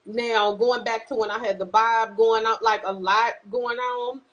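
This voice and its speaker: A woman's voice: fairly high pitch, fairly even intonation, measured pace, a bit bright, highs cut off. A woman in her forties hosting a podcast, asking questions in a chatty, easygoing way. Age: 40s. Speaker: podcast host. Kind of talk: casual podcast chat.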